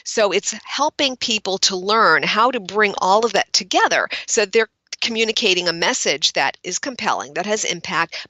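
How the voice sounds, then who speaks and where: A middle-aged woman from Brooklyn with a General American accent, talking as an executive speech coach in a podcast interview, crisp and articulate. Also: lowish voice, pushed and bright, dry recording. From Brooklyn, New York.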